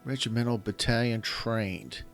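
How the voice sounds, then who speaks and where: A man with a slightly scratchy voice, casually commenting while he plays a strategy game and thinking aloud in halting, self-correcting phrases. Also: medium pitch, a bit bright. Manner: thinks aloud, halting, restarts mid-sentence. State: slightly scratchy voice from illness and yelling. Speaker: gaming channel host. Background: faint game music.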